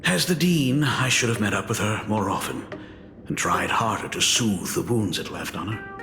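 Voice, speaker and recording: A man's voice: on the low side, animated, moderate pace. A man voicing a doting, fretful middle-aged school dean in a video game, with a theatrical, fussing manner. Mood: regretful. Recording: long reverb under background music.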